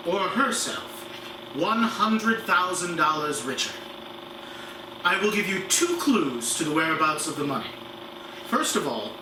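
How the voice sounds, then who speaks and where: High-pitched male actor as wealthy patriarch, formal and deliberate, radio drama, roomy distant recording. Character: wealthy father reading his own riddling will. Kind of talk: 1940s radio play acting. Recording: roomy, distant, noisy home-style recording.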